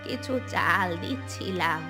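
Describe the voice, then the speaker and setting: A woman voicing a kind, polite middle-aged village mother in a cartoon, with measured speech. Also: on the low side, lively intonation, over music. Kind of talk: cartoon voice acting.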